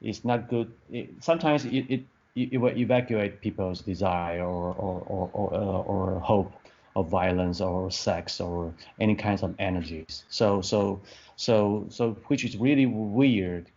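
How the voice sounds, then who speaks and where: Man, fifties, from Taiwan, second-language English, low voice, halting with many restarts, muffled lo-fi audio. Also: a little mellow. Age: 50s. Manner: hesitant, many restarts and fillers, searching for words. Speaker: film director. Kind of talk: interview answers. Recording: dull lo-fi podcast audio.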